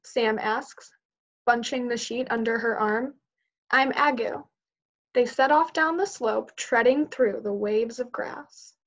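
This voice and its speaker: A young woman reads a children's picture book aloud as a teacher. Her voice sits fairly high. The sound is a little dull, as on a video call. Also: slow, fairly bright tone. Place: indoors, on a group video call.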